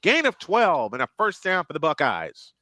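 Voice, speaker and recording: Bright-voiced man calling live football. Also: wildly swooping pitch, normal speed, dry recording, studio quality. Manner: rises sharply in pitch and volume on big plays, repeats phrases. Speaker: play-by-play announcer. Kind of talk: live football play-by-play commentary.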